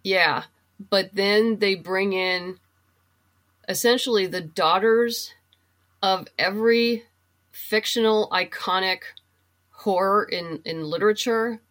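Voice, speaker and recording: A woman's voice: medium pitch, slow pace, pauses often, fairly bright tone. A woman speaking as an interview guest, explaining things conversationally and thinking aloud in a casual chat about books. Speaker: guest, comic book writer and novelist.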